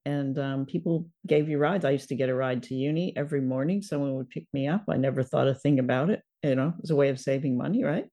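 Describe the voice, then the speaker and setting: A woman's voice: rumbling low, quick pace, few pauses, dark tone. An older woman hosting a show, chatting casually about a radio drama. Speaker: podcast host.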